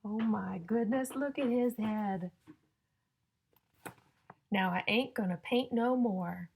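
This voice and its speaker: A woman reading a picture book aloud to young children in a sing-song, exaggerated way, pausing to ask and explain like a teacher. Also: medium pitch, gravelly, a little mellow, slightly rough. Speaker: children's story-time reader and teacher.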